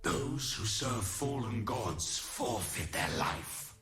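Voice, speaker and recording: An actor playing a noble, tormented ancient warrior in a video game, speaking solemnly in measured, poetic phrases. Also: male voice, lowish voice, animated, sharp tone, soft background music, exaggerated voice. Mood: solemn, resolute. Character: noble, tormented ancient male warrior resisting dark corruption. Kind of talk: scripted video game character lines.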